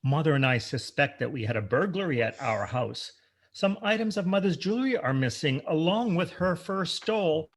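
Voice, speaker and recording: A man's voice: on the high side, expressive, normal speed. A man playing a timid, polite grown mama's boy in a comic radio play, speaking formally and deferentially. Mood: earnest, deferential. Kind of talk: comic old-time radio play acting.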